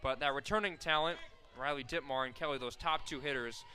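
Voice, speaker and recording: Bright-voiced radio play-by-play man. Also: medium pitch, brisk. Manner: steady, fact-filled call that rises sharply on big plays. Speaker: play-by-play announcer.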